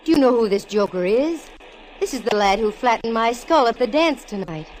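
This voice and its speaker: A woman's voice: a little high, animated, moderate pace, darkish tone, a little hiss or hum, lightly acted, low-quality recording. An actress playing a cool, wisecracking female private eye in a 1940s radio drama, talking and narrating in a wry, hard-boiled manner. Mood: urgent, alert. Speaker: lead actress in radio detective show. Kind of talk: 1940s radio acting, first-person narration. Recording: vintage 1940s radio recording.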